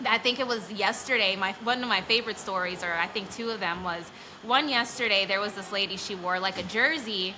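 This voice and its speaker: A woman's voice: mid-range voice, fairly quick. Young woman from nyc chatting, lots of likes and ums, noisy crowd around her. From New York City. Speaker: guest, bakery employee. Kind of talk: casual chat. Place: bakery during a birthday event. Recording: noisy live event.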